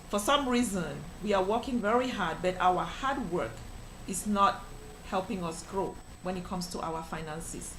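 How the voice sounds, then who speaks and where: A woman's voice: mid-range voice, fairly bright tone, slight background noise, poor audio. A woman from Cameroon, a financial professional, answering interview questions in an earnest, explanatory way with many fillers.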